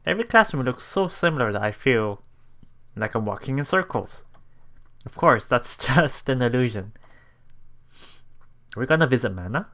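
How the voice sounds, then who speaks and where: A man doing a let's play, reading story narration aloud with casual commentary, halting and chuckling as he goes, with game music behind him. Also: medium pitch, lively intonation, fairly bright tone. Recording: voice over game background music.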